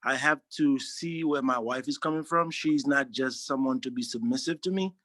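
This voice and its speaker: A man's voice: medium pitch, fairly bright tone, dry recording, studio quality. A man, speaking earnestly in a testimonial interview and often restarting his sentences. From Haiti. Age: 40s. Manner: earnest, halting, frequent restarts. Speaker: interviewee, social worker.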